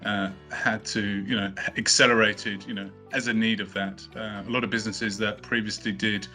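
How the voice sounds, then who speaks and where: A middle-aged man with a bright, forceful voice speaks at a fairly low pitch. He answers in a casual, halting way, full of ums and restarts. Soft guitar music plays under him. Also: level tone. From not from Australia, studied in the UK. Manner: hesitant, many fillers and restarts. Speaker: company co-founder, podcast guest.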